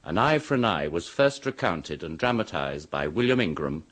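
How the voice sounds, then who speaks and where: A man's voice: deep voice, sing-song, normal speed, a bit bright. A man announcing closing credits for a radio drama in a scripted style.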